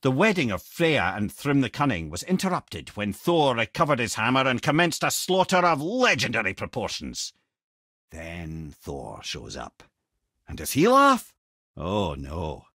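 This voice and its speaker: Witty old Scottish lore-teller, video game character, husky voice, animated intonation, theatrical, eloquent, wry. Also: male voice, medium pitch, unhurried, dry recording, clean studio sound, heavily acted. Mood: storytelling. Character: wise, witty old male talking head, lore-telling companion. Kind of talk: game character dialogue and storytelling.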